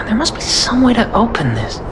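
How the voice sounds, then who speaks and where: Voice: medium pitch, heavy background noise. An actor playing an eager, awkward amateur treasure hunter in a video game, flustered, hesitant and earnest. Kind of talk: video game character acting.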